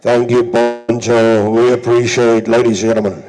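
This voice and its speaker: A man's voice: mid-range voice, flat delivery, moderate pace, dark tone, soft background music, rough recording. A South African man working as a livestock auctioneer, with rhythmic, repetitive chant and showy, emphatic sales patter.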